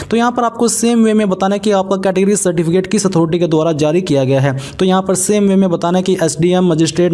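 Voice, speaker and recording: A man's voice: sits high, dark tone. A man presents an online tutorial. He explains each step in an instructional manner, dropping English terms into his narration.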